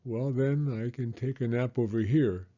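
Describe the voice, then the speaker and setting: A man's voice: mid-range voice, unhurried, mellow, lo-fi sound, lightly acted. A man voicing an unsure but curious dog pupil in a children's picture book, speaking plainly and earnestly. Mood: puzzled, reluctant.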